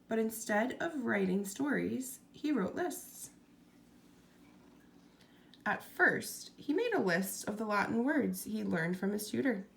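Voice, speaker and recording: A woman reading a children's storybook aloud as narrator, in an expressive scripted style. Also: mid-range voice, slow, vocal fry.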